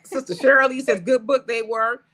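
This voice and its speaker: A woman's voice: on the high side, steady tone, normal speed, a bit bright. A woman with an American accent talking casually and colloquially as an opinionated talk show host. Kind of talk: casual political chat, reading viewer comments.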